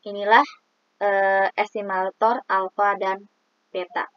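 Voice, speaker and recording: A woman's voice: medium pitch, little pitch movement, slow pace, no echo, dull audio. A woman explaining a statistics exercise, reading formulas aloud step by step with many hesitant fillers. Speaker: student presenting a statistics exercise. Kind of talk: explaining math on screen.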